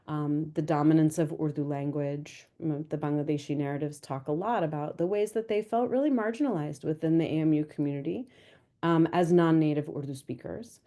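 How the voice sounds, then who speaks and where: A woman history professor giving a measured, explanatory answer on an academic panel. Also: low-pitched, warm tone.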